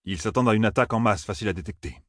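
A man's voice: lowish voice, very fast, no echo, close to an everyday voice. A man voicing a stoic male warrior who speaks in a dry, assured manner.

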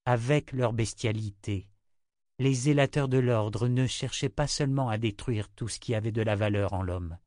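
A man reading a fantasy novel aloud in a measured, deliberate way as its narrator. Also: medium pitch, darkish tone, no echo, clean studio sound. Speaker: audiobook narrator. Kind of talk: scripted narration.

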